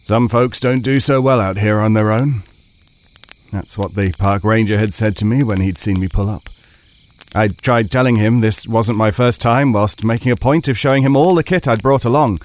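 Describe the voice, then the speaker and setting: A man's voice: on the low side, normal speed, close to an everyday voice. A man playing a proud, chatty camper telling a first-person fireside story. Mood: confiding, wry.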